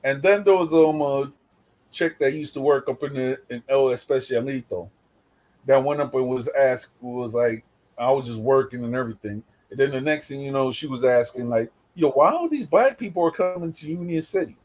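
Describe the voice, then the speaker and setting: A man with a New York accent hosts a casual talk. He tells rambling stories, full of fillers and restarts. His pitch sits in the middle for a man. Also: normal speed, a little mellow. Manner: loose, rambling storytelling with many fillers. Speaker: host. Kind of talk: casual chat.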